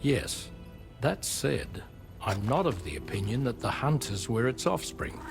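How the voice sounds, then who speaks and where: An actor playing a grieving father in a game cutscene, speaking in a slow, grave and deliberate way. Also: mid-range voice, big pitch swings, creaky. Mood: somber. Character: grieving middle-aged father, slow and measured. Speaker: father who lost his son. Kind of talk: video game cutscene acting. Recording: game audio over loud music.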